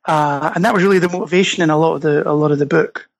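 A man's voice: a little high, racing, gravelly. A Scottish man being interviewed on a podcast, explaining his research thoughtfully with many fillers and restarts. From Scotland. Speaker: guest author and researcher.

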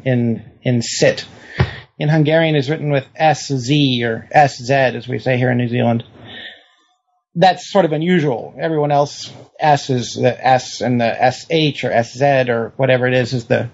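A man's voice: medium pitch, vocal fry, lo-fi sound. A man speaking as an interviewed historian, explaining things precisely in a conversational academic style and checking that he is understood. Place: lives in New Zealand.

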